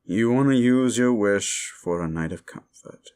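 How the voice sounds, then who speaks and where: A man playing a sinister, mocking demon in a roleplay ASMR style, slow and theatrical, with a gravelly voice and condescending, drawn-out words. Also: lowish voice, darkish tone, natural voice. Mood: condescending, menacing. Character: sinister mocking male demon, condescending soul-hungry tempter. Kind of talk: roleplay comfort ASMR acting.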